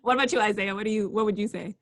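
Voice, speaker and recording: A woman's voice: medium pitch, steady tone, rapid-fire, no room sound. Young woman, podcast host, upbeat, laughs easily, dry close sound. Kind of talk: casual interview chat.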